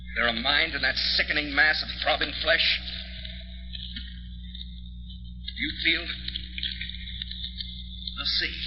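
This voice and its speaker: A man's voice: medium pitch, natural voice. Actor as an obsessed, menacing man, echoing old radio. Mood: brooding, menacing. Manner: melodramatic, brooding. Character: obsessed, menacing husband with a basement secret. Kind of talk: 1940s radio horror acting. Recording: old radio recording, muffled, long reverb.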